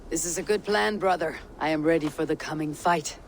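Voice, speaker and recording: A woman's voice: low-pitched, fairly quick, slight background noise. A woman playing a steadfast, poetic Viking warrior in a game cutscene, composed, solemn and resolute. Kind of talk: video game cutscene acting.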